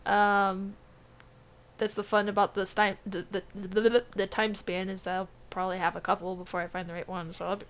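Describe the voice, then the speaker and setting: A woman's voice: medium pitch, little pitch movement, a little fast. A young woman co-hosting a gaming podcast, laughing often, swearing casually and trailing off mid-thought. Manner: giggly, swears freely, trails off hunting for words. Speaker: podcast co-host.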